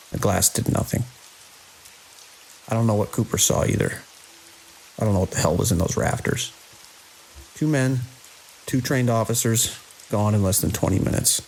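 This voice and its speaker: An actor playing a grim, commanding security chief in a horror audio drama, giving terse, urgent orders. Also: male voice, lowish voice, vocal fry, rough recording. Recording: constant hiss and rain-like noise.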